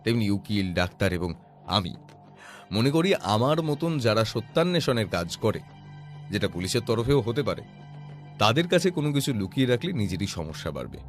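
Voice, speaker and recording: An actor playing a calm, observant detective who explains things in a measured, self-assured way in an audio drama. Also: male voice, lowish voice, faint music behind. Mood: reflective, instructive. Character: calm, observant male detective, patiently explaining his deductions.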